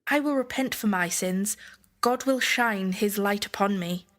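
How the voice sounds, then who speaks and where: A woman's voice: mid-range voice, a little slow, lightly acted. A woman playing a devout, anxious churchgoer in an audio drama, pious and earnest.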